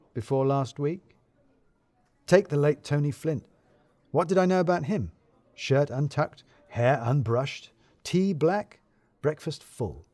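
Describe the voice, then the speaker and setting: A man narrating a scripted first-person story in a wry, dryly comic way with deliberate phrasing. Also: mid-range voice, mellow, no echo.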